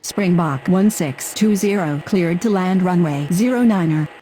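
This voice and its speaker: Actress as a calm, mellow air traffic woman. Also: lowish voice, unhurried, natural voice, rough recording. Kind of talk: clipped radio phraseology.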